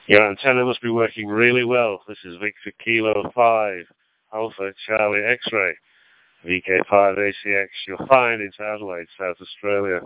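An older man with a Lancashire accent, an amateur radio operator chatting in an easygoing, halting way over a radio link. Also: on the low side, fairly even intonation, smooth, dry recording. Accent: Lancashire English. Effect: two-way radio. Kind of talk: casual radio chat with anecdotes and call signs. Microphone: radio transceiver microphone. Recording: phone-like digital radio gateway link.

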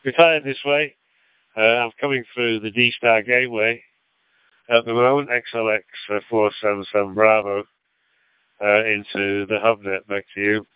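An older man with a Lancashire accent, an amateur radio operator chatting in an easygoing, halting way over a radio link. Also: lowish voice, little pitch movement, smooth, no room sound. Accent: Lancashire English. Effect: two-way radio. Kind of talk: casual radio chat with anecdotes and call signs. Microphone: radio transceiver microphone. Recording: phone-like digital radio gateway link.

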